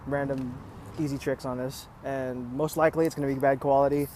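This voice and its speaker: Male skate vlogger, average pitch, loose conversational delivery, slightly noisy phone-earpiece sound from an outdoor urban spot. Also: level tone, a little fast, darkish tone. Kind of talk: casual rambling vlog chat. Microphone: earphone microphone with a phone.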